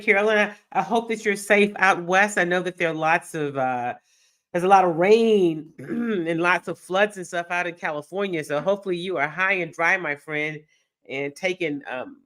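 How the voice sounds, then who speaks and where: A woman's voice: lowish voice, moderate pace, fairly bright tone. A middle-aged woman from Texas hosting a live sports talk show, her voice nasal from a cold, upbeat and chatting directly with viewers. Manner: upbeat, addresses viewers directly, reads chat aloud. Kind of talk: live-streamed casual show chat.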